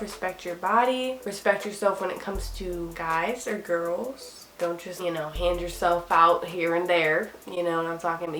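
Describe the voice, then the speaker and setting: A woman's voice: mid-range voice, echoey room. A young woman vlogger giving casual, sassy advice about school, her voice tired and a little cracked. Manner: chatty, sassy, upbeat, lots of "like". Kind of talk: casual talk to camera.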